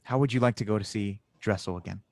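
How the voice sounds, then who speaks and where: A man acts as a teasing, good-hearted inventor in an amateur space audio drama. His voice sits on the low side, with an earnest, playful delivery. The sound is dry, with little room to it. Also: fast talker, vocal fry, a little mellow, no echo. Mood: playful, upbeat. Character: warm, teasing male inventor, reunited with an old friend. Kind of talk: fan audio drama table read.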